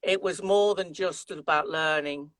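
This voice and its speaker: A man's voice: fairly high pitch, a little slow, a bit bright, no room sound. Chatty English Midlands man in his fifties. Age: 50s. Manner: chatty storyteller, many fillers and restarts. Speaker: guest trumpet player. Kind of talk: conversational interview.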